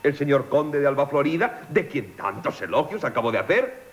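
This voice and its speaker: Pompous, animated marquis. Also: male voice, fairly high pitch, moderate pace. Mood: effusive. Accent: Castilian Spanish. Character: pompous, jealous marquis, effusive and snobbish. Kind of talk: 1970s Spanish radio theatre acting.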